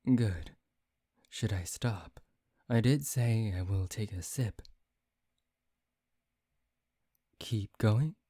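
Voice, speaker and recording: A teasing, seductive male vampire, acted by a man for an ASMR roleplay. The voice is dark and mellow and moves slowly, with intimate, formal phrasing. It sounds very dry and close. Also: fairly low pitch, breathy edge, dry recording, exaggerated voice. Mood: taunting, intimate. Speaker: voice actor.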